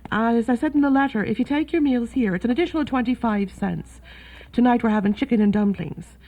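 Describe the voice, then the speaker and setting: A woman's voice: on the high side, a little fast, mellow. An actress playing a kindly, chatty, fussing boarding house landlady in a period radio drama. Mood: welcoming. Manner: fussing, hospitable, gossipy. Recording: thin phone-like band, faint music behind.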